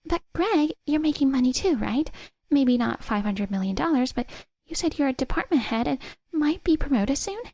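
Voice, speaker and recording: Shrill young mistress in a story, played by a woman: indignant, accusing, high-pitched with some creak, dry recording. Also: lively intonation, moderate pace, darkish tone, no room sound. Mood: flustered. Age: young adult. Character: shrill, flustered young mistress throwing a tantrum. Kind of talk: dramatised story dialogue.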